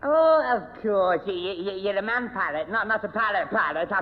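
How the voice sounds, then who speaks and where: Daft young Northerner, stuttering and slow on the uptake, Northern English accent, vintage radio sitcom, slightly noisy muffled tape. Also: male voice, high voice, expressive. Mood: naively pleased. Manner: stammering, repeating words, gormless. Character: daft, slow-witted, excitable young male friend. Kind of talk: 1970s radio sitcom acting. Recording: dull noisy old radio recording, hall reverb.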